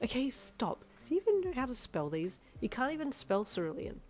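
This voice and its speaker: A woman voicing a loud, dramatic young woman in an audio story, given to shrieks, wails and cackles. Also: a little high, sing-song, brisk, pitched above the performer's own voice. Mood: teasing. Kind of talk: audio fiction reading.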